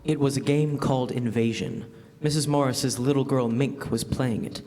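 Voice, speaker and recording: A young man giving measured, suspenseful scripted narration in classic radio drama style. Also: mid-range voice, dark tone, faint music behind. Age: young adult. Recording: echoey stage hall.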